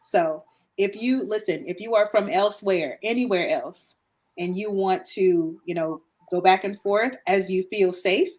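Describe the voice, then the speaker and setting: A woman from Guyana speaking as a guest in a casual podcast conversation, animated, with emphatic repeats and frequent laughs. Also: mid-range voice, unhurried.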